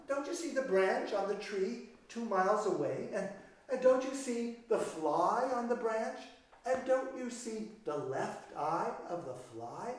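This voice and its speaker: A man playing an eager, boastful sharpshooter in a children's storybook tale, talking in halting, insistent questions. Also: sits high. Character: eager sharpshooter showing off his keen eyesight. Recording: roomy, echoey, distant microphone.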